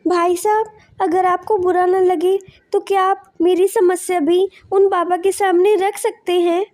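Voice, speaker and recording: A woman voices a kindly countrywoman in a cartoon fable. She sounds very high and soft in tone, polite and imploring, with a troubled mother's earnestness. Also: level tone, measured pace. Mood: entreating. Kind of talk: animated moral story dialogue.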